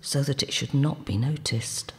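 A woman's voice: extremely low pitch, normal speed. A woman giving a scripted dramatic reading of an old religious text. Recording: long reverberant hall.

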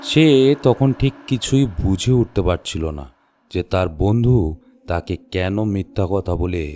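A man narrating a scripted horror story in measured, suspenseful short phrases. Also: deep voice, dark tone.

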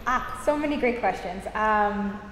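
A woman's voice: medium pitch, a little slow. A young American woman, an opera singer, answering interview questions with enthusiasm and frequent laughter, recorded indoors from some distance. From United States. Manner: enthusiastic, laughs often, warm gushing praise. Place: room with high windows, indoors. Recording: echoey room, reverb, faint noise.

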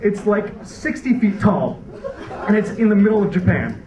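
A man's voice: high-pitched, moderate pace. A young man performing a stand-up comedy set on a theater stage, rambling and wry with frequent restarts, into a handheld mic. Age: young adult. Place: dark theater stage before a laughing audience. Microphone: handheld stage mic, recorded from a far camera. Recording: roomy, distant, dull hall recording with crowd laughter.

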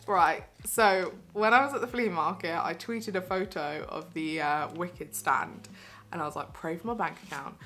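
A young woman in her twenties, talking to the camera in a small bedroom. She chats away casually and excitedly, with frequent giggles and plenty of fillers as she shows off her purchases. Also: fairly low pitch, moderate pace. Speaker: vlogger. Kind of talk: casual haul vlog.

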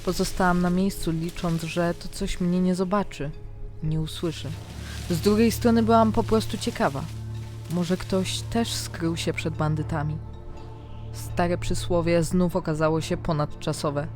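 A woman's voice: fairly low pitch, unhurried. Dramatic female fantasy narrator. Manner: dramatic, expressive storytelling. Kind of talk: scripted first-person fantasy diary narration. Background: background music.

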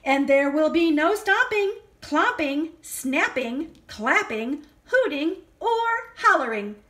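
Eager bossy farmer guy in a storybook, a lady reading him, high and loud. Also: sing-song, slow pace, full character voice. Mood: stern. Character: eager middle-aged male farmer, bossy.

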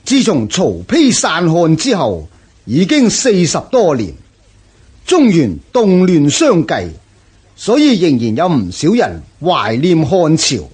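An actor playing a proud, scornful Xiongnu chieftain in a Cantonese historical radio drama, declaiming grandly with a Hong Kong accent. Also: male voice, a little high, wildly swooping pitch, takes it slowly, a little mellow, breathy edge, heavily acted. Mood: solemn, resolute.

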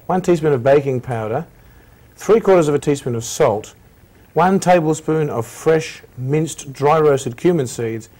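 A man's voice: mid-range voice, a little mellow. Man, cooking show host, mid-range voice, step-by-step recipe instructions. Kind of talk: cooking demonstration.

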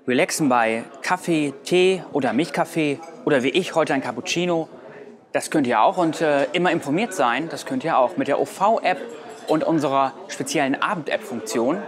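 A man's voice: a little high, moderate pace, crowd noise. A young adult man presenting a how-to explainer. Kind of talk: explainer to camera and voice-over.

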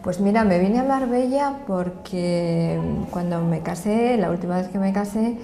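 Older woman, Madrid accent, earnest and measured; dark, gravelly voice in a roomy space. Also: medium pitch. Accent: Spanish from Madrid. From Madrid, Spain. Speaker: association president. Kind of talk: sit-down interview. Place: indoor office room. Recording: echoey room.

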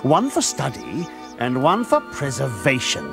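An actor playing a cold, haughty gentleman hunter, a smug and theatrical villain in a video game. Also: medium pitch, huge pitch swings, measured pace, vocal fry, full character voice. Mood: smug, threatening. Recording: music behind the voice.

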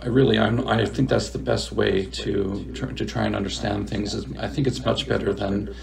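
Gravelly male podcast host. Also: fairly low pitch, fairly even intonation, normal speed, crowd noise. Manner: reflective, searching for words. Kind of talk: casual interview talk.

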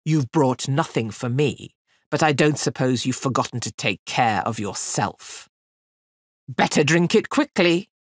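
A woman voicing a huge, mocking male fire fiend in a storybook reading, slow and booming. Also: animated, breathy edge, no echo, clean studio sound, exaggerated voice. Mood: commanding.

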